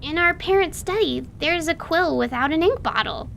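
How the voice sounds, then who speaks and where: Woman playing a high, creaky, inquisitive young girl. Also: animated, moderate pace, fairly bright tone, slight background noise, heavily acted. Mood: earnest. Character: curious young girl adventurer, eager and talkative. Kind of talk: dramatized tabletop audio drama.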